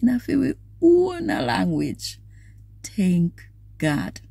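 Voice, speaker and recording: Middle-aged woman, Jamaican accent, slow expressive devotional reader, husky, soft-edged tone. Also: mid-range voice, no echo. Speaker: scripture reader. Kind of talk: devotional read-aloud in a casual, personal setting. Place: inside a parked car.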